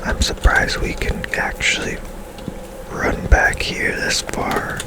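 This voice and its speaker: Whispering, slow ASMR game host. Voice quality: soft, breathy whisper. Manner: slow, gentle, unhurried with pauses. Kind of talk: ASMR gameplay commentary.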